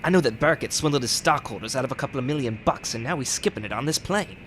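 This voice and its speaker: An actor playing an insolent, crooked smuggler pilot with slangy, sneering speech in old radio crime drama style. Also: male voice, expressive, quick pace, fairly bright tone, close to an everyday voice. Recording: faint vehicle rumble behind the voice.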